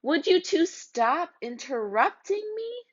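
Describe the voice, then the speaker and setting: A woman's voice: high-pitched, very animated, slow pace, highs cut off, pitched above the performer's own voice, heavily acted. A woman playing a pushy, exasperated storybook narrator who argues with the characters.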